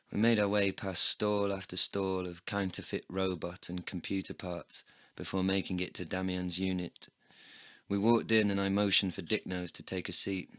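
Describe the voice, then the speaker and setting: A man's voice: low-pitched, bright, forceful tone, no echo, clean studio sound. A man narrating a first-person comedy audio drama from a script, world-weary, sardonic and sweary. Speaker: narrator and performer.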